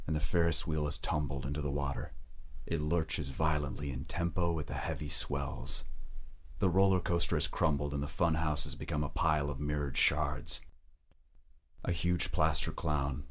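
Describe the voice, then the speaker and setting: A man with a remarkably deep, bass voice reads scripted dramatic narration. He tells the story in the present tense, with measured and deliberate delivery.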